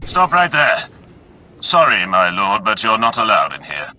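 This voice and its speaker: An actor playing an officious, easily rattled guard in a game. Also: male voice, lowish voice, huge pitch swings, measured pace, slight background noise, heavily acted. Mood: officious challenge. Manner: forceful, officious. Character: officious, nervous male guard bluffing at superiors.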